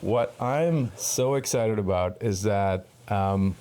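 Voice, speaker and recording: Earnest low-voiced podcast host, Sri Lankan-born. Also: male voice, takes it slowly, warm tone, clean tone. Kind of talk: podcast interview.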